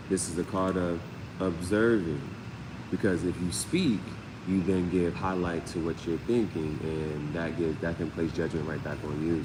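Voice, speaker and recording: A man giving a casual, off-the-cuff live tarot reading as host, often repeating phrases and peppering his talk with slang and sound words. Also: sits low. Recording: roomy long reverb, clear steady background noise.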